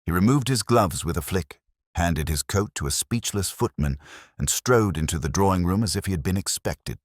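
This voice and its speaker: A man's voice: low-pitched, animated, moderate pace, no room sound, clean studio sound. A man reading scripted mystery fiction as a dramatic audiobook narrator.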